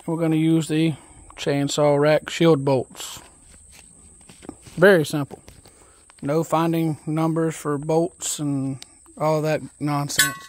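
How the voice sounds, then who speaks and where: Relaxed DIY man, unhurried and folksy, reading instructions aloud outdoors on a phone. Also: fairly high pitch, dry recording. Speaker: DIY video host. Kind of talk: casual step-by-step assembly walkthrough. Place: outdoors on a wooden deck.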